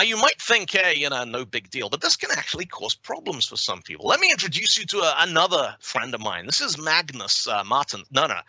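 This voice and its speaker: A British man giving a conference talk with a live demo, wry and self-deprecating, full of quick asides. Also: medium pitch, pushed and bright, no echo, poor audio. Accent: British English. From Britain. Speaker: conference speaker.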